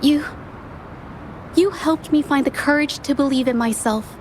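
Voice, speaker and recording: A shy young painter. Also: female voice, high voice, measured pace, slight background noise. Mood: grateful. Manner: soft, hesitant, stammers when flustered. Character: shy young woman painter, gentle and earnest. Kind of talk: game voice acting. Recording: game audio with faint music underneath.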